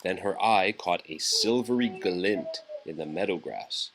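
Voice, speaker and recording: A man's voice: deep voice, measured pace, background noise. A man reading a children's picture book aloud as a story for a young child.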